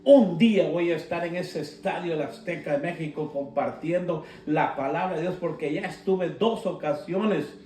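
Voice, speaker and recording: A man preaching a sermon. He speaks with slow emphasis and breaks into fervent shouted calls. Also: a little high, a little hiss or hum. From Guatemala. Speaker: pastor. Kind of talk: live-streamed sermon. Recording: roomy sound, mic at a distance, soft guitar.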